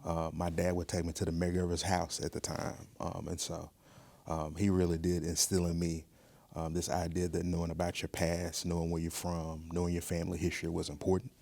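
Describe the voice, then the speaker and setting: A man giving measured, earnest answers as an interview guest. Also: sits low, completely flat delivery, vocal fry, a little mellow. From Mississippi, United States. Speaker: museum director, interview guest.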